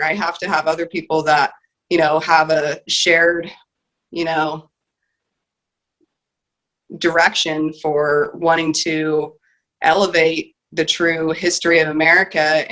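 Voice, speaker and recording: A woman's voice: deep voice, a bit bright, rough recording. A woman interviewed as a guest, speaking earnestly and emphatically about her heritage and sense of duty. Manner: heartfelt and emphatic, with repeated "you know". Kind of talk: earnest conversational interview answer.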